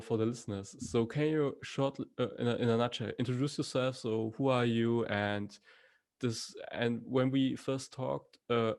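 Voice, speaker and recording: A man's voice: mid-range voice, a little mellow. A man hosting an interview podcast over a video call, asking questions hesitantly with many fillers. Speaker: podcast host.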